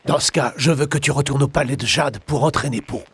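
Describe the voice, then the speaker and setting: An actor playing a stern old martial arts master in a game, with a husky, gravelly voice and a measured, authoritative manner. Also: male voice, medium pitch, a bit bright. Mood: stern, composed.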